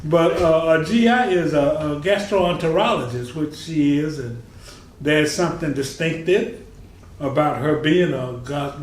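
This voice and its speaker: A man hosting a talk show, chatting loosely with fillers and restarts as he introduces and questions his guest. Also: a little high. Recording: echoey room, distant mic, faint noise.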